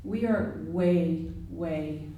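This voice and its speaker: A woman's voice: fairly low pitch, very slow, narrow, tinny audio. A woman in the audience asking an earnest, pressing question about community trust. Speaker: audience member. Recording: very echoey hall from far off.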